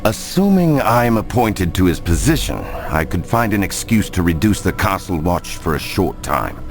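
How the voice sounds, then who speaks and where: Low, lilting, sly knightly informant. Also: male voice, big pitch swings, moderate pace, soft background music. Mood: courteous, conspiratorial. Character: obliging, sly Templar informant, adult man. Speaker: video game actor. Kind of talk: scripted game cutscene dialogue.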